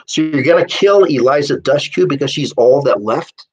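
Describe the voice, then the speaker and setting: A man's voice: fairly high pitch, animated, normal speed, darkish tone, husky, poor audio. A playful young woman read by a man in a short story. She teases her lover with blunt, casual slang. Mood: teasing.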